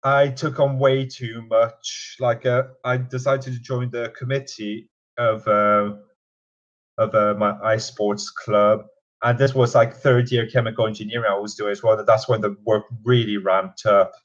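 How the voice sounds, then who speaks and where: A man's voice: medium pitch, level tone, a little slow. A young man in his twenties from Canada with a mixed Canadian and British accent, talking hesitantly with many fillers in an interview. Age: 20s. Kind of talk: casual interview. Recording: dull lo-fi sound.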